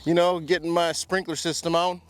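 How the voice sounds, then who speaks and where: A man's voice: fairly high pitch, level tone, fairly quick, a little hiss or hum. A young man vlogging about his lawn in a casual, chatty way, full of slang like bro and dude. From Pacific Northwest. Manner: laid-back, chatty, slangy, says bro and dude a lot. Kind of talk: casual vlog talk to camera. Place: outdoors in a yard.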